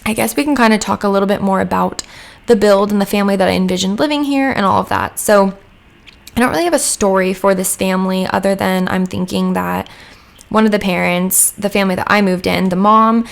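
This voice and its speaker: A young woman talks through a home-mic voice-over, a little hoarse today. Her voice has gravelly fry as she rambles, full of "like" and little asides. It sounds relaxed and chatty. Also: medium pitch, a little fast. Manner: rambling, chatty, lots of "like" fillers. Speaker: Sims speed build creator. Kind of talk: casual voice-over chat.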